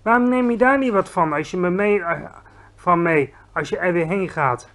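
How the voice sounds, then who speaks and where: A man's voice: sits high, moderate pace, a bit bright. A man as a polite, earnest little girl. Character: small young girl, polite and sensible.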